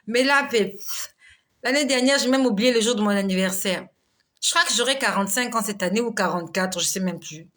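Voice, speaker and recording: Bright-voiced woman in her forties. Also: medium pitch, fairly quick. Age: 40s. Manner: exhorting, laughs often, chatty. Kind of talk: casual live devotional talk reading viewer comments.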